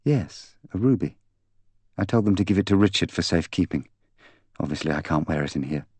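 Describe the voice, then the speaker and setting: Man voicing a bitter, intense young woman, low-pitched. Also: lively intonation, a little fast, darkish tone, slightly rough, no room sound. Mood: candid. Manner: candid, articulate, intense. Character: proud, bitter young woman confessing to killing her fiancé. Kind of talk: audiobook character dialogue.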